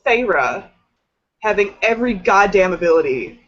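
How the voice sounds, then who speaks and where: A woman's voice: mid-range voice, expressive, a little slow, a bit bright, dull audio. A woman chatting casually and emphatically in a book discussion, swearing freely and leaning on "like". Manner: emphatic, swearing, filler-heavy with "like".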